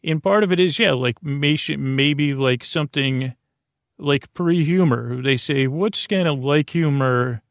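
Gravelly, rambling bedtime podcast host, a man. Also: on the high side, measured pace, no echo. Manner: meandering, goes off on tangents and wordplay, many fillers.

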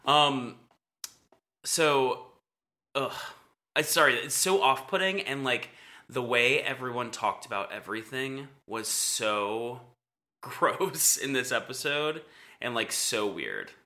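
A man co-hosting a casual podcast recap of a reality TV show, chatty and full of "like," with a naturally high voice and frequent laughs. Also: lively intonation, slow pace, sharp tone. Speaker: podcast co-host.

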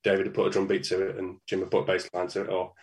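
A man's voice: low voice, completely flat delivery, rapid-fire, darkish tone. A man with a Manchester accent, a band's singer, chatting easygoing and matter-of-fact as a guest over a video call. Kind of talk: casual interview chat.

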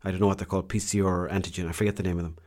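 A man's voice: sits low, little pitch movement, very fast, a little mellow. An Irish man telling a rambling, self-mocking holiday story in casual vlog style, with slang and the odd swear. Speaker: vlogger.

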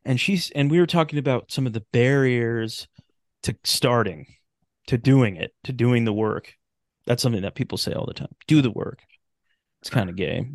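A man with a mid-pitched voice in a very dry recording talks casually on a podcast. He is blunt and emphatic, slangy and sweary, and repeats phrases for stress. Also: moderate pace, no room sound.

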